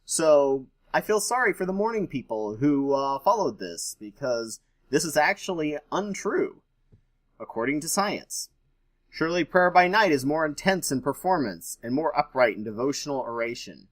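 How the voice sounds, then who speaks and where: A man's voice: a little high, sing-song, moderate pace. A man reading aloud and adding his own informal commentary as host, with a skeptical, dryly sarcastic manner.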